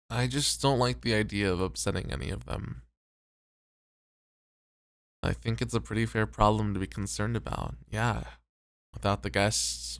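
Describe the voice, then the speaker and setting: Conscientious, self-doubting host for ASMR roleplay, played by a man: sincere, fry-edged voice, very dry close recording. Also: fairly low pitch, no echo. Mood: worried, sincere. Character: conscientious, self-doubting male host, weary but devoted. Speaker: voice actor.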